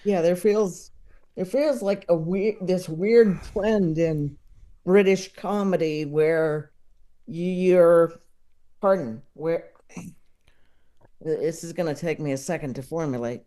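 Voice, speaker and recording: Mellow-voiced woman, halting casual talker. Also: on the low side. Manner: halting, searching for words. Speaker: podcast guest. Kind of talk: casual chat.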